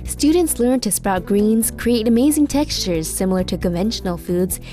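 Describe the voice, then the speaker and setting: A woman narrates a documentary script in a measured, formal tone. Her voice is mellow, and light music plays under it. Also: medium pitch, expressive.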